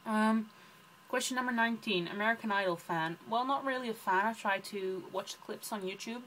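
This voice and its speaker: A young Dutch-accented woman rambles cheerfully through vlog questions, filling pauses with plenty of ums. Her pitch sits in an ordinary middle range for a woman. A faint hiss of background noise runs under the recording. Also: a bit bright, low-quality recording. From Netherlands. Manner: chatty, rambling, many ums. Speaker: book vlogger. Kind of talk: casual chat answering tag questions.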